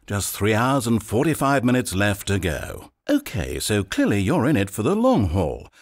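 Wry video game narrator, sarcastic, grandly dramatic, very lively pitch, husky edge. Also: male voice. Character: wry, theatrical male narrator of a game, adult.

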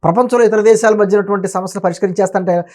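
Fast, high-pitched man arguing politics. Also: steady tone, darkish tone. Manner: emphatic, argumentative, rhetorical questioning. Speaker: political commentator, interview guest. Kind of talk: opinionated political commentary in conversation.

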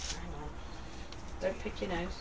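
A woman's voice: fairly low pitch, unhurried, creaky. Older woman, grandmotherly and encouraging, casually instructing children; sits away from the mic in a noisy kitchen. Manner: encouraging, patient. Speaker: grandmother leading children's baking. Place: small home kitchen. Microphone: handheld camera a few feet away. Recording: noisy handheld camera, distant mic.

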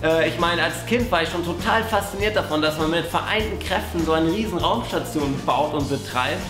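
A young man speaks in a fairly high voice. He is casual and enthusiastic, like a hobby vlogger. The room rings around him, with music faintly underneath. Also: fairly quick. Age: 20s. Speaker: amateur radio vlogger. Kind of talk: casual vlog to camera. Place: small bare-walled room indoors. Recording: roomy echo, faint music.

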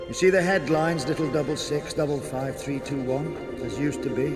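Troubled prison chaplain, male actor: higher-pitched, declamatory, morally tormented, radio drama with loud music over him. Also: lightly acted. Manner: preachy, rhetorical, declamatory.

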